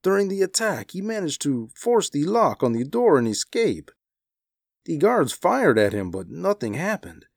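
A man's voice: on the high side, sing-song, normal speed, vocal fry, no echo, studio quality, pitched above the performer's own voice, exaggerated voice. A man voicing a grim, weary official in an audiobook story. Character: grim, weary male official with intense temper. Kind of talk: audiobook character dialogue.

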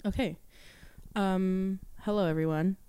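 Stuffy-nosed woman cohost, giggly and teasing, clear voice, dry close sound. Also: mid-range voice, steady tone, a little slow, dark tone, dry recording. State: stuffy, getting over a sore throat. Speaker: podcast co-host. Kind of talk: casual chat. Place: at home. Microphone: shared handheld mic.

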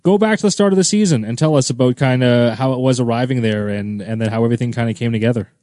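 A man's voice: mid-range voice, quick pace, warm tone, no room sound, clean studio sound. A man hosting a podcast, talking in a rambling, friendly way and often repeating words as he asks questions. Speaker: podcast host. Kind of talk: casual podcast chat.

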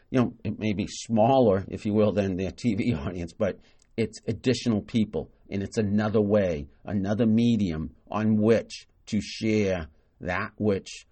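A man's voice: deep voice, a little mellow. A man hosting a solo podcast, talking casually and enthusiastically, with many fillers and restarts. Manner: enthusiastic, praising. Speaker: podcast host. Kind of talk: solo podcast monologue on marketing.

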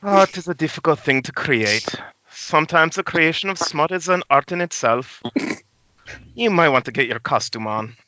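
A man performs a gaunt, deadly serious Italian film craftsman with an Italian accent. He is formal and haughty, his fairly high voice swinging in pitch over a muffled call. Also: lively intonation, normal speed, pushed and bright, no room sound. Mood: cool, haughty. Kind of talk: script table read over video call. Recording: muffled, noisy internet call.

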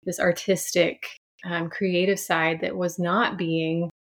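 A woman's voice: fairly low pitch, little pitch movement, takes it slowly. A woman, telling her story thoughtfully as a podcast guest. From Franklin, Tennessee, USA. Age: 30s. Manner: reflective, many restarts and soft laughs. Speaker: podcast guest, sound healer. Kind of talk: casual interview chat.

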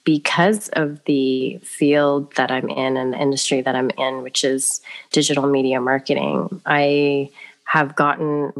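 A woman's voice: very deep voice, little pitch movement, a little slow, low-quality recording. A woman who grew up in Canada, a guest on a casual podcast, talking over a remote call and laughing often. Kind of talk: casual conversation.

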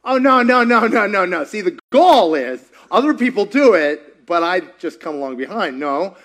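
A man's voice: sits high, sing-song, moderate pace, a bit bright. A man, a pastor, teaching in a sermon style, repeating lines for emphasis and questioning the audience.

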